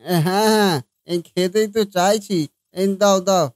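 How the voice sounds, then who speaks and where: Man playing a kindly, high-pitched old cartoon father-in-law. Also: fairly even intonation, normal speed, no room sound, clean studio sound, natural voice. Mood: approving, coaxing. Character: kindly, protective older father-in-law, coaxing and insistent. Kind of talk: Bengali family comedy cartoon dubbing.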